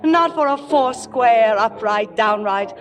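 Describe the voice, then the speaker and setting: An impassioned, middle-aged stage diva speaks in an old radio drama over music. The voice runs fairly high and swings widely, with dramatic, emphatic phrasing. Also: female voice, animated, takes it slowly. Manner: dramatic, emphatic, clipped bursts. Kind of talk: 1950s radio drama acting.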